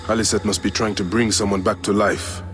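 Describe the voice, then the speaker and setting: An actor playing a grim, vengeful desert warrior in a video game, speaking in a solemn, measured way. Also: male voice, fairly low pitch, flat delivery, faint music behind, natural voice. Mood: pensive.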